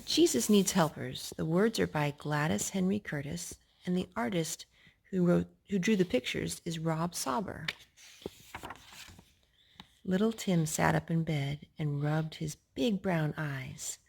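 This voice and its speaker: A woman reads a rhyming picture-book story aloud at a slow pace. Her voice is husky with a creaky edge, and she phrases each line deliberately for young listeners. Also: lowish voice, darkish tone. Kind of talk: read-aloud children's story in rhyme.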